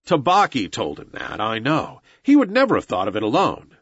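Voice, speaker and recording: A man's voice: medium pitch, huge pitch swings, normal speed, fairly bright tone, breathy edge, dry recording, lo-fi sound, voice pushed down, heavily acted. A man reading aloud and voicing a loyal young male wolf who speaks in a knowing, matter-of-fact way.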